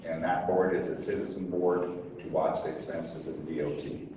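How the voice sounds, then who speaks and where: A man's voice: deep voice, little pitch movement, normal speed, noisy background. An older man from Wyoming introducing himself as a board candidate, plain-spoken and hesitant, with many fillers. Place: convention center meeting room. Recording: echoey hall from far off.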